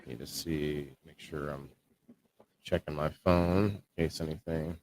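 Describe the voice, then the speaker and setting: A man co-hosting a casual livestream about indoor growing, talking in a low-key, fairly monotone way. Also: a little slow. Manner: low-key, self-described monotone delivery. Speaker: co-host of a live-streamed indoor growing show.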